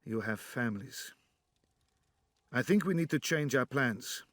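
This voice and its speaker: Brief: weary resistance leader, voiced by a man, middle-aged, calm and dry, close dry recording. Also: mid-range voice, unhurried, no room sound, lightly acted. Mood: steady. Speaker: narrator.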